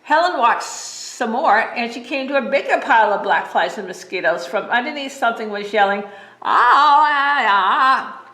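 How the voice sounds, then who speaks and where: Bright-voiced woman reading bedtime stories. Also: on the high side, a little fast, hardly any pauses. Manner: gentle expressive read-aloud. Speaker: librarian storyteller. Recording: roomy echo.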